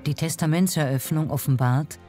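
Mellow female documentary narrator. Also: deep voice, normal speed. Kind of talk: scripted narration. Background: faint music.

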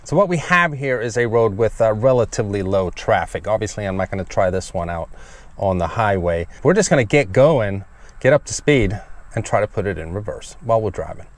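A man's voice: on the low side, a little fast. A man in his forties vlogging from the cab of his moving pickup truck, chatting casually and laughing a lot, with a dashboard camera picking him up. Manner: excitable, laughs often, shouts when thrilled. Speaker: car vlogger. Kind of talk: casual vlog while driving. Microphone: camera mounted on dashboard. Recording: engine and road noise in a truck cab. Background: road and engine noise.

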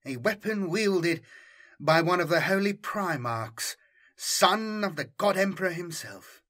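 A man voicing a pompous rogue trader envoy who speaks in formal, courtly, measured phrases for an audiobook. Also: high voice, lively intonation, bright, forceful tone, pitched above the performer's own voice, exaggerated voice. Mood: awed, grand. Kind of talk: audiobook character dialogue.

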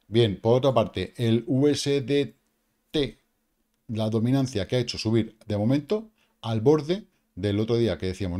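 A man's voice: medium pitch, warm tone. A man in his fifties with a Peninsular Spanish accent, hosting a casual crypto explainer from a small room, chatty and emphatic with tag questions and mild swearing. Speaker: crypto channel host.

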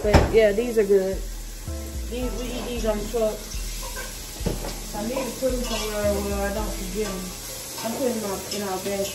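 A woman's voice: medium pitch, fairly even intonation, normal speed, long pauses. A young woman chatting casually to the camera in her home kitchen, playful and full of slang. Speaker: vlog co-host. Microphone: camera on the counter. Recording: echoey kitchen with loud music.

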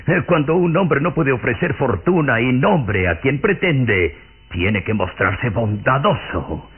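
Husky, haughty old aristocrat. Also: male voice, medium pitch, expressive, slow, heavily acted. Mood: scornful. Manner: pompous, scornful. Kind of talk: Mexican radio drama acting. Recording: muffled old radio recording.

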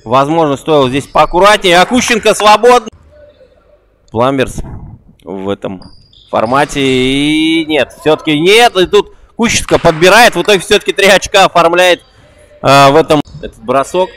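Excitable male basketball commentator, higher voice. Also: slight background noise. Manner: quick excitable play-by-play with a repeated catchphrase. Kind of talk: live play-by-play commentary. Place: indoor basketball gym.

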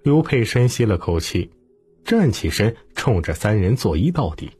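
A man narrating a historical novel as an audiobook in a dramatic, expressive storytelling manner. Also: lowish voice, normal speed, husky, faint music behind.

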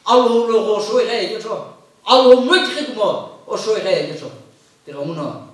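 A middle-aged pastor delivers an emphatic sermon in a very high-pitched male voice. He repeats phrases in a declamatory way. The sound rings heavily around the hall and sits at a distance from the microphone. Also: animated, normal speed, fairly bright tone. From Nagaland, India. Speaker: pastor, preacher. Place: indoor church hall, at a pulpit. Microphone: microphone on a stand at the pulpit. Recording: echoey hall, picked up from a distance.

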